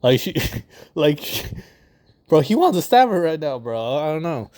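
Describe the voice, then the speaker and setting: A man's voice: on the high side, very animated, moderate pace, a little mellow. A man giving casual reaction commentary, rambling and slangy, swearing often and breaking into bursts of mock outrage.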